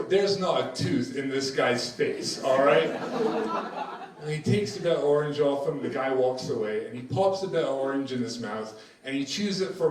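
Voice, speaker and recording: Profane American comic with Scottish tinge. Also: male voice, fairly high pitch. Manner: brash, profane, conversational with the crowd. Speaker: stand-up comedian. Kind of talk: stand-up set. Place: comedy club stage. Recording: echoey room, distant mic, audience laughter. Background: crowd laughter.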